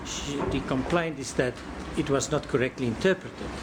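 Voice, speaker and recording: Deliberate probing male counsel. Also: medium pitch, very noisy. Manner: deliberate, repeats words while probing. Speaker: cross-examining counsel. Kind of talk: courtroom cross-examination.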